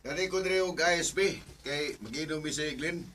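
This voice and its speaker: A man's voice: a little high, brisk, lightly acted. A man playing a casual, protective older brother in a Bisaya radio drama. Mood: breezy. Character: protective casual older brother, young adult drinking buddy.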